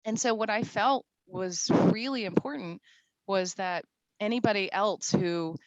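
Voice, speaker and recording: A woman's voice: medium pitch, measured pace, dry recording, highs cut off. A woman in her forties from Morocco, speaking on a discussion panel in a chatty way, with frequent laughs and fillers. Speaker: panelist, CISO.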